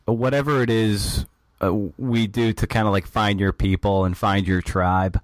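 A man's voice: lowish voice, fairly even intonation, no room sound. A man hosting a podcast interview, asking long questions with many restarts. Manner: halting, many restarts and fillers. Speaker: podcast host.

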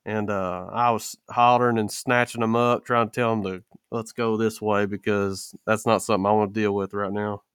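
A man's voice: fairly low pitch, little pitch movement, moderate pace, few pauses, a bit bright, clear, resonant tone, no room sound, clean studio sound. A man in a casual podcast chat, telling stories with folksy, rural phrasing. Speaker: podcast co-host.

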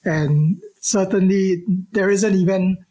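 Husky man, halting speech. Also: high voice, mellow. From Singapore. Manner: halting, many restarts and fillers. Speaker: archaeologist guest. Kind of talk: interview answers.

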